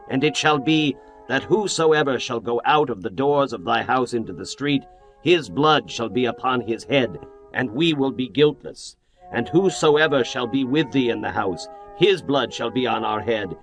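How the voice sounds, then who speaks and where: A man's voice: mid-range voice, a bit bright, poor audio. A man playing a young Israelite spy who speaks firmly and solemnly. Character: two young male Israelite spies, steady and sworn.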